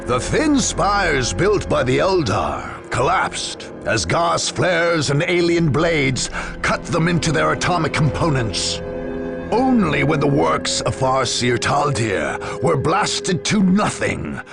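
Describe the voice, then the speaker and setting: A man voicing a grave war chronicler, telling a sci-fi saga in a measured, dramatic scripted game narration. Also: on the high side, big pitch swings, vocal fry, full character voice. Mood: grave, ominous. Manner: grave, measured, storytelling delivery. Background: music.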